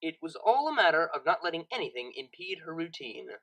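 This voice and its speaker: A woman's voice: low voice, very animated, fairly quick, fairly bright tone, poor audio. A woman reading scripted story narration as the narrator of an audio tale.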